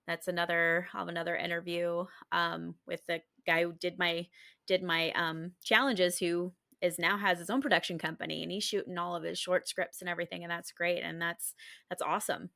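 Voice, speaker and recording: A woman's voice: fairly low pitch, flat delivery, brisk, creaky, pushed and bright. A woman hosting a podcast interview in casual, informal chat, sometimes repeating phrases as she thinks.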